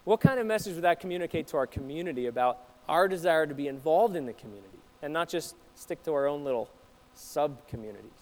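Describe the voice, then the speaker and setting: A man's voice: a little high, lively intonation, quick pace, darkish tone, rough recording. A man preaching to his congregation in a conversational way, putting questions to the listeners. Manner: conversational, asks the audience questions. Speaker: pastor. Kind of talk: sermon to a congregation. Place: church.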